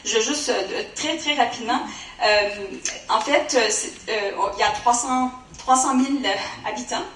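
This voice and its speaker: A woman with a Canadian French accent giving a conference presentation, often pausing with fillers. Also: a little high, fairly bright tone, reverberant, recorded from a distance. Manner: hesitant, many fillers. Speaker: conference speaker.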